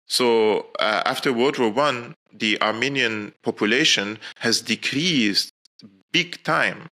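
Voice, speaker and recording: A man born and raised in Syria speaks with a strikingly bright, forceful tone. He sits as a podcast guest and explains at length. He talks earnestly, often restarting his sentences. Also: mid-range voice, slow. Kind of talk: interview answers.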